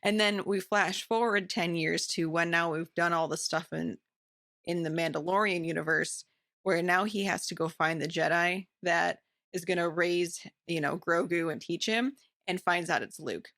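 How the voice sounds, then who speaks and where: A woman's voice: lowish voice, fairly even intonation, moderate pace, fairly bright tone, no room sound, studio quality. A woman co-hosting a podcast, talking casually and with enthusiasm about what she reads. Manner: informal, enthusiastic. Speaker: podcast co-host. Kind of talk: casual book-club chat.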